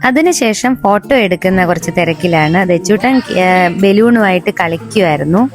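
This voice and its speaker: A woman's voice: on the low side, expressive, moderate pace. A woman with a Kerala Malayali accent giving a chatty, casual vlog narration in a conversational way. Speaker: family vlogger. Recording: background music under voice. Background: music.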